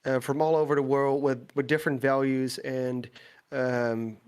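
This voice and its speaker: A man's voice: mid-range voice, flat delivery, normal speed, darkish tone, clean tone. A young man from the Netherlands chatting casually, reflective and hesitant, in English with an American-sounding Dutch accent. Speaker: podcast guest, gaming content creator. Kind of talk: casual chat.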